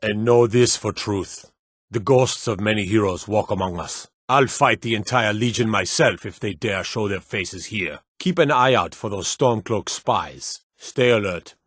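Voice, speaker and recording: An actor playing a proud, stern middle-aged warrior lord in a fantasy game, with a commanding, measured delivery. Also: male voice, on the low side, a bit bright, husky, dry recording, studio quality. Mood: solemn, resolute. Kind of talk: scripted fantasy game dialogue.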